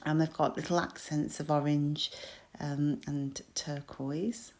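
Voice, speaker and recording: Croaky British woman chatting through a craft project. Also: low-pitched, fairly even intonation, slow pace, a little mellow. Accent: British English. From United Kingdom. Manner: chatty, self-deprecating, with frequent ums and small laughs. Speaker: crafter and shop designer. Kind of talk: crafting tutorial voice-over.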